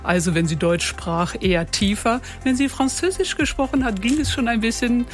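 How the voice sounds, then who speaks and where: A woman's voice: a little high, normal speed, faint music behind. A woman, a voice and singing coach, explaining things conversationally as a radio guest. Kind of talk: radio interview.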